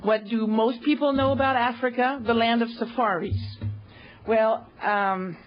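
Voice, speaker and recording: A woman giving a university lecture, speaking slowly and pausing often with fillers. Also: a little high, fairly even intonation, soft background music. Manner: slow, hesitant, many pauses and fillers. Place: lecture room. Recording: dull, lo-fi lecture recording.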